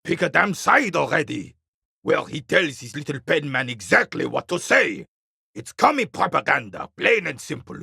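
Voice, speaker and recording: An actor playing a bitter, proud old war veteran in a video game, speaking with a gravelly rasp and curt, scornful disdain. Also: male voice, on the high side, big pitch swings, moderate pace, sharp tone, no echo, exaggerated voice. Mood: scornful, indignant. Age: older. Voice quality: gravelly, raspy. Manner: curt, scornful, dismissive, old-soldier pomp. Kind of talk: video game character dialogue.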